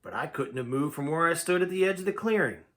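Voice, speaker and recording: A man's voice: a little high, lively intonation, fast, a bit bright. A man reading a first-person tale aloud in a dramatic, folksy storytelling manner. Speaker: amateur audiobook reader.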